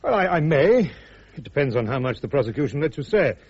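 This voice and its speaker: Husky, wry British accused officer. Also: male voice, on the high side, big pitch swings, fast talker, a little mellow, muffled recording, heavily acted. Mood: calm advice. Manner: wry, chuckling, clipped colloquial. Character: wry, stubborn, easygoing officer facing court martial. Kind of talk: British radio drama acting.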